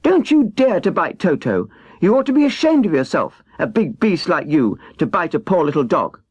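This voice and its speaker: A man voicing a plucky, forthright little girl in a children's storybook, earnest and quick to indignation. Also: a little high, sing-song, husky, no echo, pitched above the performer's own voice. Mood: indignant. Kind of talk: children's storybook character reading.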